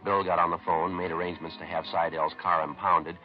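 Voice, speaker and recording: A man playing a low, clipped radio detective. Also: level tone, brisk, soft background music, close to an everyday voice. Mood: deadpan. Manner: clipped, matter-of-fact. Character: terse, businesslike male police detective sergeant. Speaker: narrator and lead detective. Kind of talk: 1950s radio police drama acting, deadpan narration. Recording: noisy muffled 1950s radio recording.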